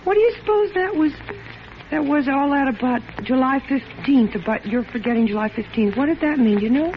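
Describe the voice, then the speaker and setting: An actress playing a sweet, emotional, devoted wife in a 1940s style radio suspense drama, with a gushing, dramatic manner. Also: female voice, high voice, lively intonation, brisk. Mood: puzzled. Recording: muffled noisy old radio recording.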